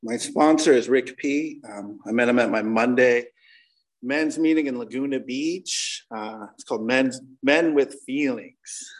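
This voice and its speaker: A man's voice: medium pitch, a little slow, poor audio. An American man in his forties speaks to a recovery meeting in a mid-range voice. He is candid and conversational, using casual slang as he gives an informal account of himself. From Connecticut, United States. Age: 40s. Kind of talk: informal personal testimony.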